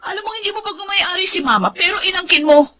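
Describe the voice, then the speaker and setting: A woman's voice: very high voice, animated, quick pace, over a noisy crowd. A woman with a Filipino accent acting an aggrieved, defiant daughter in a melodramatic radio drama. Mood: accusing. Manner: confrontational, emotional, accusing. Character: defiant, aggrieved grown daughter defending her dead father.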